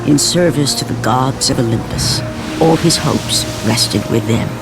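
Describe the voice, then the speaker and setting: A man's voice: medium pitch, unhurried, natural voice. A man narrating a video game story in a grave, dramatic tone. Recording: loud music behind.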